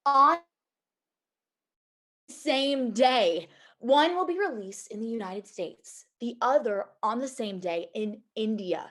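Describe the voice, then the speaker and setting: A woman playing an ambitious, quick-thinking executive assistant in a table read, speaking in an earnest, persuasive way. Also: fairly high pitch, lively intonation, fairly bright tone, exaggerated voice. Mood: eager pitching. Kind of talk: acted screenplay table read.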